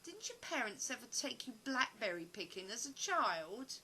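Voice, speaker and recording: A woman's voice: on the high side, very animated, normal speed, bright, forceful tone, a little hiss or hum, low-quality recording. A woman playing a flustered, self-deprecating actress in an audio play, chatty but hesitant and prone to stammering. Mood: wistful, chatty.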